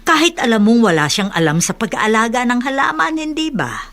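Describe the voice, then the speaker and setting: An actress with a Filipino accent playing a concerned older household confidante in a radio drama, frank and questioning. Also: female voice, fairly high pitch, big pitch swings, a little fast, fairly bright tone. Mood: probing, reasoning.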